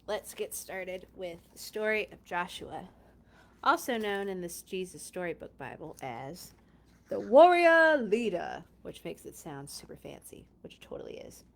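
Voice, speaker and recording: A woman's voice: lowish voice, very animated, a little slow, vocal fry. A woman hosting a children's read-along, reading a storybook expressively with chatty, playful asides and dramatic emphasis.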